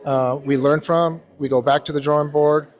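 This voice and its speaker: A man in his fifties from California, a bodybuilding competitor, answering interview questions in a measured way with many pauses. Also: on the high side, steady tone. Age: 50s. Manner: measured, hesitant, many "um" and "you know". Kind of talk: casual interview.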